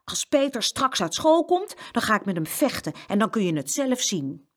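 Casting: woman as a little storybook lad, headstrong and plucky, pitched up high, very lively intonation. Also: normal speed. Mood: stubborn, boastful. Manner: tough-talking, headstrong. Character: small, stubborn, plucky young twin boy talking tough. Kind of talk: children's storybook character reading.

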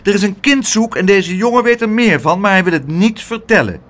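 A man's voice: lively intonation, a little fast, fairly bright tone. Een man die een schelle, bazige, verontwaardigde tante voorleest in een kinderverhaal. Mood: shouting. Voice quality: high, scolding, shrill. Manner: indignant, demanding. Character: shrill bossy fussing aunt, middle-aged woman.

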